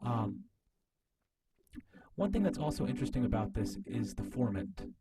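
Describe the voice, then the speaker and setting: A man teaching an informal online class, demonstrating software step by step and thinking aloud in a halting, tentative way. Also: medium pitch, big pitch swings, rough recording. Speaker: music professor teaching a class. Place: online video class, voice through a microphone. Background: electronic music and synth tones.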